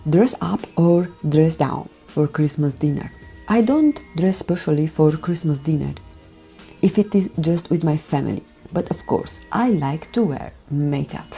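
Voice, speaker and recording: A woman from Romania with a Romanian accent, speaking a gentle, careful voiceover for her makeup tutorial, often dropping near a whisper. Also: sits low, animated, a little slow. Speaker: beauty vlogger. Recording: voiceover with background music.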